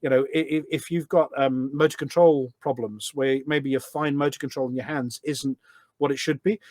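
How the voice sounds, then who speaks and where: A man's voice: mid-range voice, steady tone, normal speed, darkish tone, no echo. A man talking enthusiastically in a podcast interview as a guest, explaining things step by step and often posing questions as he goes. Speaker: podcast guest, voice pack developer.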